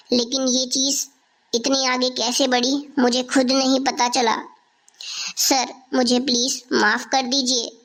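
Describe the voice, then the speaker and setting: A woman playing an earnest, lovestruck teenage schoolgirl in scripted cartoon dialogue. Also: sits high.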